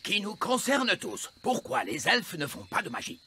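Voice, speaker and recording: A man voicing a grumpy, strict old elf schoolteacher in a cartoon, creaky and pompous in his delivery. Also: high voice, wildly swooping pitch, normal speed, bright, forceful tone, heavily acted. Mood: lecturing. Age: older. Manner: pompous, emphatic, disapproving. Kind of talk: animated series dubbing.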